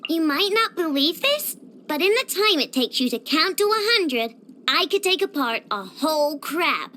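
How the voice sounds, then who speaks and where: A woman's voice: high voice, sing-song, normal speed, bright, forceful tone, full character voice. A woman voicing a cheerful, proud young girl inventor in a video game, with a bubbly, boastful, childlike delivery. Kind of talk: scripted video game character voice lines.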